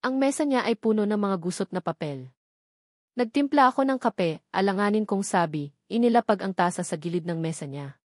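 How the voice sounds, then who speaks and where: A woman with a Filipino accent narrates a scripted first-person romance drama in a measured, dramatic storytelling delivery. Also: medium pitch, lively intonation, darkish tone, dry recording, clean studio sound.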